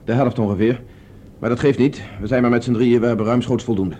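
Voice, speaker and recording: A steady, mid-pitched man in a science-fiction radio play, as an actor performs him, giving brisk, decisive orders. He stays calm and practical, and there is faint noise under the recording. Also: close to an everyday voice. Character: Tony, resourceful male space fugitive. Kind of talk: Dutch radio play acting.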